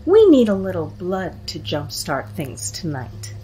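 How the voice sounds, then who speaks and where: A woman playing a bossy, eerie teenage girl leading a séance in an audio horror drama, taunting and drawn-out in her delivery. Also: on the low side, big pitch swings, warm tone, soft background music. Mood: sly, menacing.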